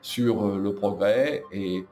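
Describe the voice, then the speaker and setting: A man's voice: fairly low pitch, slow pace, a little mellow. An older man being interviewed, talking off the cuff in a plain way and repeating words. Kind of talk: spontaneous interview talk. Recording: roomy echo, faint music underneath.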